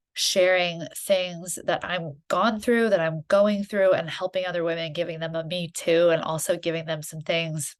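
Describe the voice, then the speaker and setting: A woman podcast host with a congested, stuffy voice from a head cold. She talks in an earnest, encouraging, conversational way, pitched a little lower than most women. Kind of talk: solo podcast monologue.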